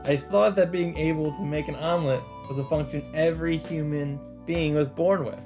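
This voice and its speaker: A man's voice: on the high side, steady tone, moderate pace. A man hosting a let's play, reading game narration aloud with casual, mock-dramatic commentary. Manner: mock-dramatic, interjects swearing and side remarks. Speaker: let's play host reading a visual novel. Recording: game music under the voice. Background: visual novel background music.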